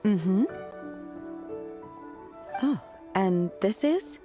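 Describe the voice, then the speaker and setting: Stoic young waitress, mid-pitched, blunt, polite, game dialogue, faint music. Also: female voice, animated, drawn-out words. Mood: composed, matter-of-fact. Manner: matter-of-fact, reserved, polite. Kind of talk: scripted video game voice acting.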